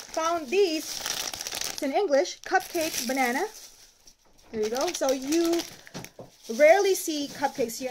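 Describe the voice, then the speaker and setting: A woman in her thirties talks casually in a high, bright voice while unpacking groceries in a cramped kitchen. She is recorded on a phone camera close by. The sound is noisy and home-made. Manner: chatty, holds items up to the camera. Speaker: vlogger. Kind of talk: casual chat. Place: small apartment kitchen, indoors. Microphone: phone camera at arm's length.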